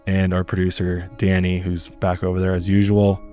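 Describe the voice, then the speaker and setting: A hoarse male podcast host, chatty and rambling, talking in a studio. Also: low voice, monotone, normal speed, mellow, faint music behind. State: hoarse from illness. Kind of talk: podcast interview.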